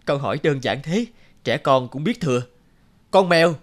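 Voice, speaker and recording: An actor playing a polite but wary young scholarly traveller in a cartoon fairy tale, expressive and put-upon. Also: male voice, a little high, moderate pace, lightly acted. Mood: wary, muttering.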